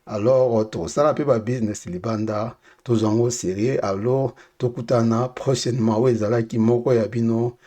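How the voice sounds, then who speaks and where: Male language teacher, young adult, mid-pitched, repeats each phrase twice for learners. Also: steady tone, a little fast, few pauses, dark tone. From Democratic Republic of the Congo. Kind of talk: casual spoken language lesson with repeated phrases.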